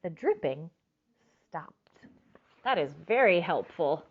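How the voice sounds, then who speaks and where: Woman storytime reader, expressive and lively in pitch, addresses children directly, slightly dull lo-fi recording. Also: slow pace, slight background noise. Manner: expressive, stretches words for emphasis. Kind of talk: children's storybook read-aloud with asides to listeners.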